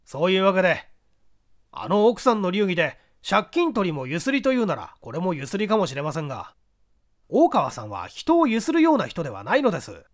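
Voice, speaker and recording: A man's voice: high voice, big pitch swings, brisk. A man voicing a forthright businessman giving conversational testimony in a mystery story. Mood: indignant. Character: male business associate giving forthright, irritated testimony to investigators.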